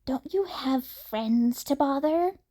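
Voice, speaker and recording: A mellow, slow voice of a surly man in an audiobook story, played by a woman. He is curt and irritable, grumbling his short replies like someone who wants to be left alone. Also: high-pitched, expressive. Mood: irritated. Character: grumpy, lonely, curt blue-haired man. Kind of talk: fan fiction audiobook character voice.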